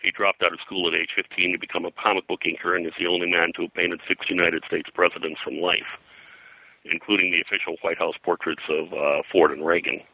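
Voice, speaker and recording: Older male interviewee, low-pitched and forceful, digressive raconteur reeling off names and anecdotes. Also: little pitch movement, a little fast, vocal fry, no echo, poor audio. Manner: digressive, name-dropping storyteller. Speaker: pop culture historian and guest. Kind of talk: rambling anecdotal interview talk.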